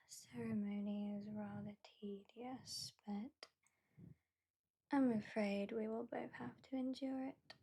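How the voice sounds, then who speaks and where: A British woman voicing a haughty, mocking female vampire in an ASMR roleplay, soft-spoken and often whispering. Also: a little high, fairly even intonation, takes it slowly, gravelly, breathy edge, full character voice. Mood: teasing. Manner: soft-spoken, drifting into whispers, dryly sardonic. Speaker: ASMR performer.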